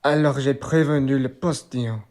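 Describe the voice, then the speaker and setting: A man playing a deferential country innkeeper in an amateur radio play, speaking in a deliberate, respectful way. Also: mid-range voice, steady tone, darkish tone, close to an everyday voice. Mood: apologetic, obedient.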